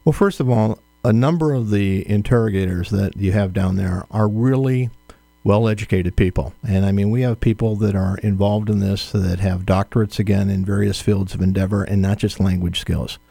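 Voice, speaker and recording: A man answering interview questions as an author, in a measured, firm, explanatory way. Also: lowish voice, gravelly, warm tone, no room sound.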